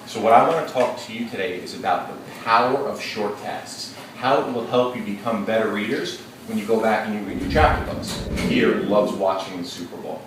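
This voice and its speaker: A male teacher leading an interactive read-aloud, questioning his class in a lively, engaging way. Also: on the low side, a little fast, few pauses. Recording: echoey classroom, distant mic, noisy.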